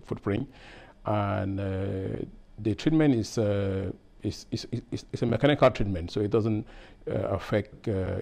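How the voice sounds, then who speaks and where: A man's voice: on the low side, gravelly, dark tone. A middle-aged man from Cameroon, a process engineer, talking in an interview in a lab with a lapel mic, hesitant with many fillers and restarts. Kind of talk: workplace interview. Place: lab, indoors.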